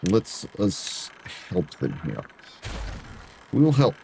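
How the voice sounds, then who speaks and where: A man's voice: fairly low pitch, huge pitch swings, extremely slow, vocal fry, mellow, a little hiss or hum. Mock-accented man streaming a game. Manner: talks to chat in a mock Eastern European dictator voice, dropping articles. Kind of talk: casual gameplay commentary.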